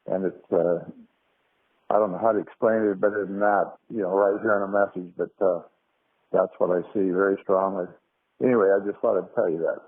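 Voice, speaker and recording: A man's voice: low voice, steady tone, fairly quick. A man leaving an earnest spoken message in a hesitant, solemn manner, on a muffled phone-like recording.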